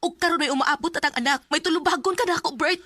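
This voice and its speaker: A woman's voice: extremely high pitch, very fast, bright, forceful tone, dry recording. An actress with a Cebuano Filipino accent playing a wronged, emotional young pregnant woman in a radio drama. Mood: angry, hurt. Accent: Filipino, Cebuano-speaking. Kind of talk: Bisaya radio drama acting.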